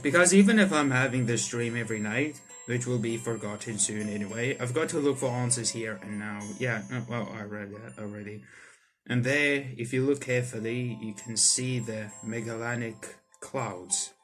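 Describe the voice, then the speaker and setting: A man doing let's play commentary on a visual novel, reading the text aloud and reacting to it excitably. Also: mid-range voice, moderate pace. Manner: excitable, reacts in sudden loud outbursts. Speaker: streamer playing a visual novel. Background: soft game music.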